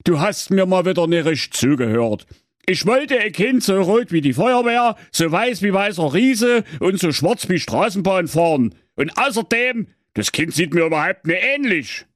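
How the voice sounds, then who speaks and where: A dim, blustering Saxon husband, played by a male narrator, pitched up and swinging loudly between squawks and grumbles. The sound is close and dry. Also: big pitch swings, moderate pace, no echo. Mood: scolding. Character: dim-witted grumbling husband, middle-aged man.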